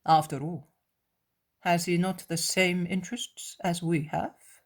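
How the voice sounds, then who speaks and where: A woman voicing a male priest who speaks with an icy, soft calm. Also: fairly low pitch, slow.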